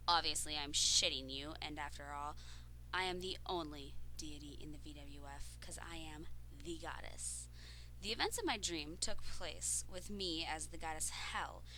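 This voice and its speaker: Cocky, ruthless wrestling goddess acted by a woman, lowish bright voice, self-assured promo for an audio roleplay, faint background noise. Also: poor audio. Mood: boastful. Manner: boastful, self-assured, emphatic. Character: cocky, ruthless female pro wrestler calling herself a goddess. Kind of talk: in-character wrestling promo monologue.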